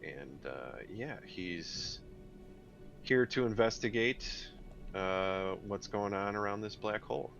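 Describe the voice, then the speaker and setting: Slow, low-voiced man playing a tabletop game. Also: creaky, a bit bright, lo-fi sound. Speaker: tabletop roleplaying player. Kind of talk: casual character introduction. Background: music.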